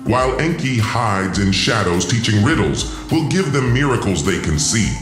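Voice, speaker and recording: Man acting imperious scheming alien overlord, deep and echoing. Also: lively intonation, normal speed, a bit bright, soft background music. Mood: contemptuous, zealous. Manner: commanding, contemptuous. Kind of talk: science fiction audio drama acting.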